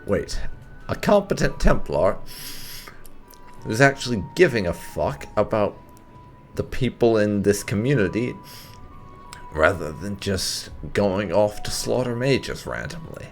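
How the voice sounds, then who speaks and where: A man's voice: on the low side, very animated, measured pace, pauses often, soft background music. A man giving casual let's-play commentary in a wry, explanatory, sweary way. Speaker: gaming video host.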